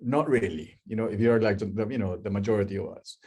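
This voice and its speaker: A man's voice: fairly low pitch, fast, darkish tone, slightly rough. A middle-aged man from Peru with a Peruvian Spanish accent, giving a chatty webinar talk on a video call and asking the audience questions. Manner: chatty, asks the audience questions, many restarts. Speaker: guest speaker, life coach.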